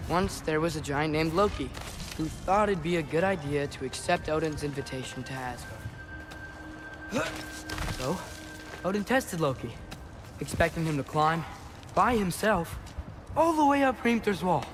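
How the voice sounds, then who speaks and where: A man's voice: on the high side, animated, moderate pace, pauses often, music in the background, natural voice. A young man playing a determined, talkative teenage giant boy in a video game, casual and earnest. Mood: straining, determined. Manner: casual, earnest, talking to himself. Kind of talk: naturalistic video game acting.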